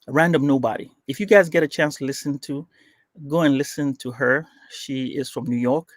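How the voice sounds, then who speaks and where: A man's voice: medium pitch, fairly quick, dry recording, clean studio sound. A man from Kenya whose first language is Swahili, a guest on a podcast, telling his story casually with lots of fillers and restarts. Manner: rambling, with frequent fillers and restarts. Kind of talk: casual interview chat.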